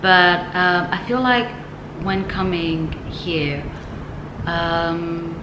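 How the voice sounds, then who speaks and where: Low-voiced woman vlogging in an echoey room. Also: steady tone, extremely slow. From from the West, now living in Ghana. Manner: reflective, chatty, laughs lightly, frequent ums and likes. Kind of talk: casual talking-to-camera vlog. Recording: echoey room with noticeable background noise.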